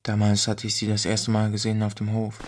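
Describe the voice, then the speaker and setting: A man's voice: on the low side, monotone, fairly quick, slight background noise, close to an everyday voice. An actor playing a brooding, subdued teenage boy narrating a German audio drama. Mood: brooding, wistful. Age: young.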